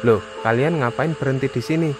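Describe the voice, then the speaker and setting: A man's voice: mid-range voice, fairly quick, over music, natural voice. A man playing a casual young male hiker in a cartoon, urging his group along. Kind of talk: cartoon dialogue acting.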